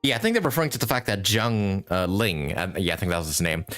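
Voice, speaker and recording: A man giving casual live commentary as a game streamer, joking and quick to laugh. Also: deep voice, animated, fairly bright tone. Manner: jokey, laughs easily, sweary. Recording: stream with game music behind.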